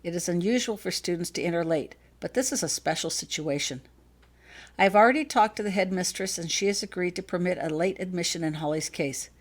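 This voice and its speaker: A woman voicing a courteous, quietly commanding wizard father in an audiobook story, speaking smoothly and persuasively. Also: lowish voice, a little fast, lightly acted. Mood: persuasive, decisive. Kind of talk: acted fanfiction audiobook dialogue.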